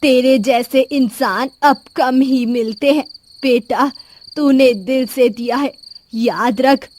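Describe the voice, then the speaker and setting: A woman's voice: high-pitched, slow pace, darkish tone, a little hiss or hum. A woman voicing a frail, grateful old beggar woman in a moral storybook tale, giving solemn blessings. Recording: music behind the voice.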